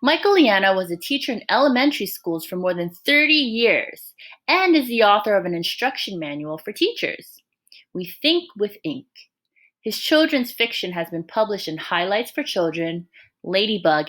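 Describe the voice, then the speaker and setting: Expressive woman reading aloud to children. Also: medium pitch, normal speed. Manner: expressive, encouraging, addresses young listeners directly. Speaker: teacher reading a picture book aloud. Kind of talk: read-aloud for young children with questions and asides.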